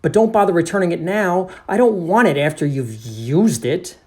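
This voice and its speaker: A man's voice: sits high, expressive, normal speed, voice pushed up. Flustered, emphatic storybook narrator, acted by a man. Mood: exasperated. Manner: exclamatory, emphatic. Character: flustered, indignant book narrator alarmed by a mystery.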